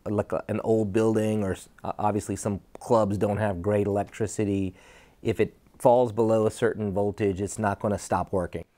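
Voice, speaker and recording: A man's voice: lowish voice, flat delivery, normal speed, warm tone. A man in his forties hosting a casual product presentation, asking questions and explaining features. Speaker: host interviewing and presenting products. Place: indoor studio set, seated.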